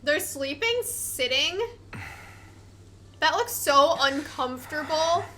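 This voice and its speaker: A woman's voice: high-pitched, expressive, slow pace, sharp tone. A woman giving casual, reactive let's play commentary on a video game. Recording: noisy, game sound behind. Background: video game audio.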